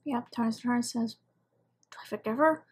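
A woman's voice: fairly high pitch, normal speed, darkish tone, husky. A woman giving casual reaction commentary as a video host, in an offhand, rambling way.

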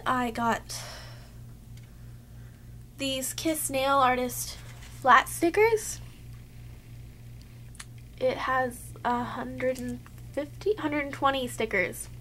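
A woman's voice: high voice, animated, takes it slowly, pauses often, fairly bright tone, a little hiss or hum. A young woman chatting casually to her webcam in her bedroom, a little sick and losing her voice, full of "like" fillers. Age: young adult. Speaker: beauty vlogger. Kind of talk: casual haul chat to camera. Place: cluttered bedroom, indoors.

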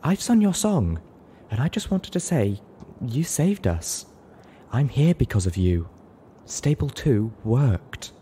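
A tender-hearted unicorn mare from a storybook, voiced by a man. The voice is soft and halting, lifted above the reader's own pitch. There is some noise on the recording. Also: very animated.

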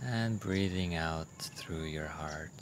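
A low-pitched man guides a meditation very slowly and gently. He leaves long pauses between short, soothing instructions. A faint chirr of crickets and insects runs behind his voice. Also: noisy background.

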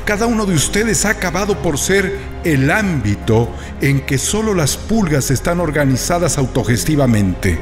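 A man's voice: sing-song, moderate pace. A man giving a dramatic, declamatory reading of a literary speech. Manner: declamatory, rhetorical, shifting pitch for emphasis. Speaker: reader. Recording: reading over music.

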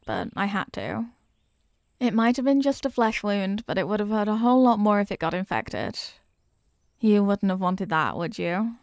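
A woman playing a young, eager and kindly apprentice witch in an ASMR roleplay, chatty, teasing and expressive as she talks straight to the listener. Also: medium pitch, creaky, no echo, clean studio sound. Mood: soothing, gentle. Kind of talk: ASMR character roleplay, scripted.

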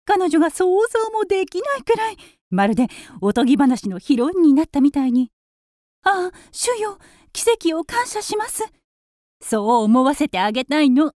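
Woman acting a high-voiced, coy upper-class young wife. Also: big pitch swings, moderate pace, darkish tone, no echo, studio quality. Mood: excited, pleading. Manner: coy, affected, theatrical. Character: impulsive, vain upper-class young wife, coaxing her husband. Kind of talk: radio drama acting.

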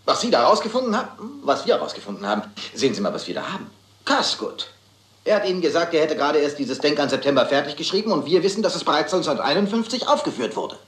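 In an echoing radio mystery, a brusque inspector. He talks quickly and officially, with impatient swings of pitch. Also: sing-song, a bit bright. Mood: urgent, determined. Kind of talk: German crime radio play acting. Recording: roomy, echoing radio play sound.

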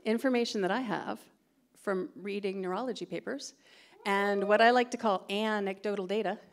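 A woman's voice: mid-range voice, moderate pace. Giggly American woman doing stand-up. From California. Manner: chatty, giggly, self-deprecating. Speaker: stand-up comedian. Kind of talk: stand-up comedy set. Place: theatre stage before a live audience. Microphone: stand microphone.

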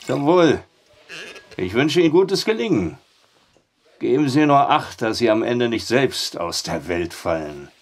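An actor playing a sceptical barkeeper with a husky voice and a dry, teasing manner in a German audio drama. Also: male voice, mid-range voice, sing-song, unhurried, a little hiss or hum. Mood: dry, musing.

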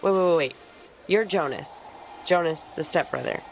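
A woman with an American accent playing a caustic, domineering teenage mean girl in a video game, sarcastic, deadpan and cutting. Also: low-pitched, normal speed, gravelly, slight background noise, poor audio. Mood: incredulous, scornful. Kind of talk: naturalistic video game acting.

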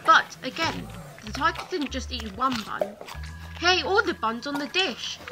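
A woman reading a children's bedtime story expressively, in deliberate short phrases. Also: sits high, animated. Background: music.

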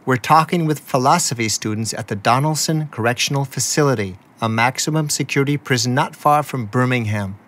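A man's voice: mid-range voice, a little fast, hardly any pauses, a bit bright. A man narrating a radio documentary and interviewing as its host.

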